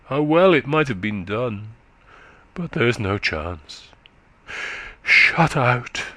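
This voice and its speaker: A man's voice: pushed and bright, dry recording. Man voicing a weary, faint countrywoman. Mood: bitter. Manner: slow, low, sorrowful. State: exhausted, short of breath.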